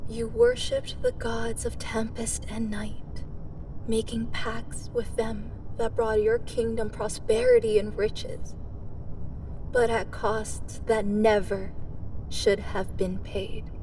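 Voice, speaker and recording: A woman playing an embittered immortal hero, obsessed with her fated enemy, in a dramatic dark fantasy monologue that is brooding and often drops into breathy whispers. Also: fairly high pitch, sing-song, takes it slowly, far from the mic, noisy background, heavily acted. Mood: brooding, intimate.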